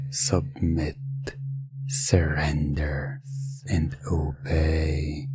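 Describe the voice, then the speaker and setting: Man playing a very slow, deep villainous game master. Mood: hypnotic command. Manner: slow, commanding, dropping into whispers. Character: villainous game master, menacing, controlling captor. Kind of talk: hypnosis ASMR roleplay.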